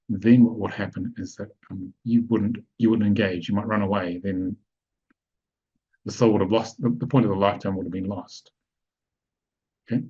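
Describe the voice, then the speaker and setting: Low husky man teaching a webinar. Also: warm tone. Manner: explanatory, asks the audience checking questions. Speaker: webinar presenter. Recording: dull lo-fi online call audio.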